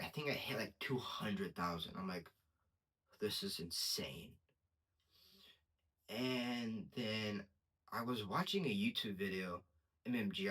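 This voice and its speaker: A young man with a stutter gives a casual personal testimony as a podcast host, repeating words and pausing mid-sentence. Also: fairly low pitch, a bit bright, husky, poor audio.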